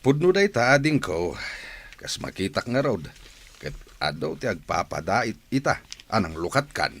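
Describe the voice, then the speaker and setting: A male actor as an Ilocano family patriarch in a village radio drama. His voice is very low and swings widely, fit for an easily exasperated father. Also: sing-song, normal speed, creaky, fairly bright tone, slight background noise, heavily acted. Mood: grave, concerned. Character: exasperated village father, older brother and husband.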